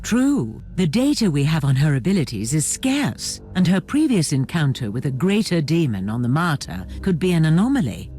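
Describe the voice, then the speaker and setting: A deep-voiced female advisor from a sci-fi video game. Her delivery is formal, analytical and coolly pointed, with widely moving intonation. Faint music sits behind her. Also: sing-song, moderate pace, hardly any pauses, warm tone. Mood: matter-of-fact. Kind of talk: scripted video game voice acting.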